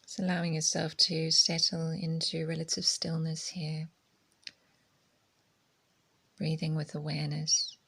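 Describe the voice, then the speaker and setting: A woman leads a guided meditation as a yoga teacher. Her voice is low and very even, with little rise or fall and a creaky edge. She speaks softly and gently, often trailing off into a near-whisper. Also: normal speed, poor audio. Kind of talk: guided meditation, soft spoken instruction.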